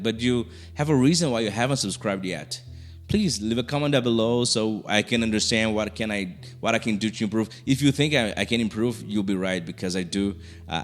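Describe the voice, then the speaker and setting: A young man from Brazil with a Brazilian Portuguese accent, speaking English as a second language. He talks casually to camera and often restarts his sentences. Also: on the low side. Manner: halting, many restarts and fillers. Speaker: YouTuber. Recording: soft music underneath.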